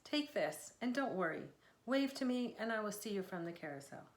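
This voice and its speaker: A woman's voice: mid-range voice, very animated, moderate pace. A woman author voicing an eager, coaxing young girl from a storybook, earnest and pleading. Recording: echoey room sound.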